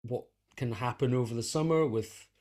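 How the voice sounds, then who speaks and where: A middle-aged man being interviewed in casual conversation, speaking in a reflective, halting way as he thinks aloud. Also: mid-range voice, a little mellow. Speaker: musician and teacher being interviewed.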